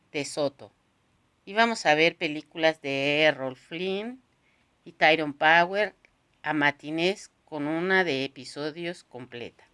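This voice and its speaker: A woman narrating a literary novel aloud, expressively, in measured phrases with pauses. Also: low-pitched, a bit bright, smooth.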